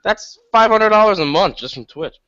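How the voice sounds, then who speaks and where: A man's voice: high voice, moderate pace, a crowd in the background. Joking man eating dinner on lo-fi audio. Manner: joking, lively banter. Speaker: podcast co-host. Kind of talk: casual chat.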